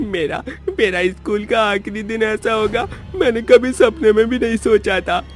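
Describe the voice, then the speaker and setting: A frightened schoolboy played by a man speaks in a high, panicky voice, pleading with plenty of melodrama. It is horror cartoon acting, with faint music underneath.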